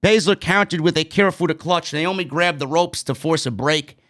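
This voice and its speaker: Brief: late-30s New York guy, blunt animated wrestling host, higher-pitched voice, close studio mic. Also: normal speed. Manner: animated, gestures a lot, blunt and swearing. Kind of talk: casual show review. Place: streaming studio with neon bar backdrop. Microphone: broadcast mic on stand, headphones.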